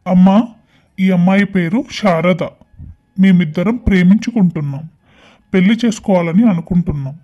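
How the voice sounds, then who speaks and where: A man's voice: sits high, moderate pace, pauses often. Dull-sounding man acting a plain-spoken cartoon man. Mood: earnest. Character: young son and a doctor in a cartoon moral tale.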